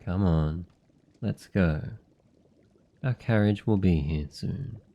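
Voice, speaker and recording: A man playing a gentle, devoted noble demon familiar in a boyfriend ASMR roleplay, speaking softly in a tender, earnest, unhurried way. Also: deep voice, gravelly, dull audio, full character voice. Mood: playful, caring.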